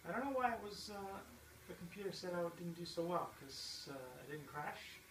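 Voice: mid-range voice, expressive, normal speed. A middle-aged parent chatting casually and coaching a child through a video game in a patient, encouraging way. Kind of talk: casual chat while watching gameplay. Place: indoor room at home with a TV. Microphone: distant camera mic. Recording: noisy, echoey room, far from mic.

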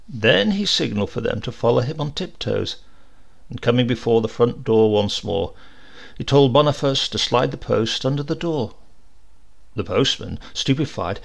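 Animated, creaky male storyteller. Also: medium pitch. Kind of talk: expressive audiobook narration of a comic story.

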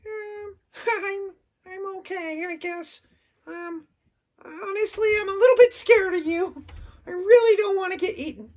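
A man voicing a timid little puppy, high and squeaky, hesitant and pleading. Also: unhurried. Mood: nervous.

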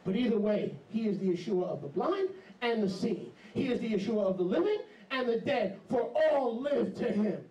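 A middle-aged man preaching an animated, emphatic sermon into a handheld mic in a small congregation hall. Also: sits high, moderate pace, few pauses. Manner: animated, emphatic, jumps into mocking voices. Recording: echoey hall, camera far off.

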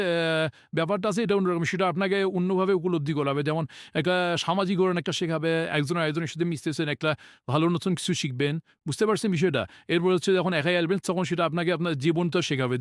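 A young man talks earnestly and at length to camera, often stalling on fillers. His voice is a little high and close to the mic, dry and without any echo. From Bangladesh. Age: young adult. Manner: earnest, talkative, hesitant with fillers. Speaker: college student, interviewee. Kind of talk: casual talk to camera. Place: outdoors in a park.

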